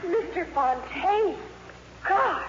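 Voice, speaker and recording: Gloating gushy teen girl, high slow dramatic delivery, muffled crackly fifties radio comedy. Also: expressive, full character voice. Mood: amazed, scandalized. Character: gushing, gloating teenage girl, breathlessly dramatic. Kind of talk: 1950s radio comedy acting. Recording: muffled, very noisy old radio broadcast.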